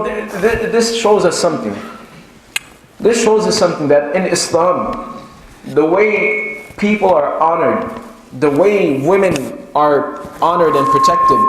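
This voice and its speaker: Echoey, higher-voiced man delivering a religious lecture. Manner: halting explanations with deliberate, emphatic repetition. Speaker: Islamic teacher giving a religious lecture. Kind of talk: sermon-like lecture retelling and explaining a religious story. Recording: very echoey room with faint noise.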